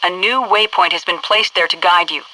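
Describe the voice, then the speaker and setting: An American woman playing a brisk military headquarters commander in a video game, giving crisp, authoritative mission instructions. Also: lowish voice, bright, forceful tone, no echo, low-quality recording. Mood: brisk, instructive. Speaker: mission control radio dispatcher and tutorial guide.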